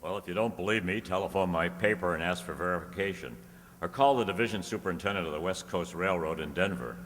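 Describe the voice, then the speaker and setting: A man playing a confident, urgent young newspaper reporter in old-time radio style, brisk and insistent. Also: deep voice, a bit bright, long reverb tail, a little hiss or hum. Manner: brisk, insistent, clipped commands.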